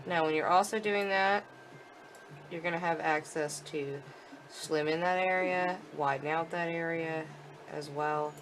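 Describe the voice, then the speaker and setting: A woman's voice: sits low, fairly even intonation, a little slow, soft background music, low-quality recording. A woman giving a chatty, informal live walkthrough commentary of a video game, explaining as she goes. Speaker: video game demo presenter.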